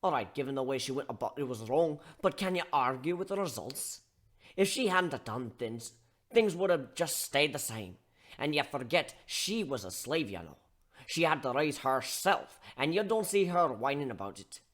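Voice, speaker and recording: Man acting a gruff barkeep mentor, blunt, colloquial, animated pitch, tough-love lecturing, dramatic audio story. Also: normal speed.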